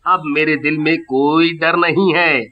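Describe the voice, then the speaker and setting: Man acting a high, pleading village merchant. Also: fairly even intonation, unhurried, natural voice. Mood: earnest. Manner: pleading, dramatic. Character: frightened, pleading greedy village merchant man, now repentant. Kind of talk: animated Hindi moral story acting. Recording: dull lo-fi audio.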